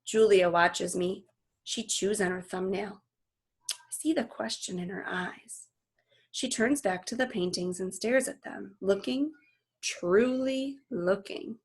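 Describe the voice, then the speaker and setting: A woman reading a children's novel aloud as narrator, with expressive storytelling delivery. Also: mid-range voice, husky. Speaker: storybook reader.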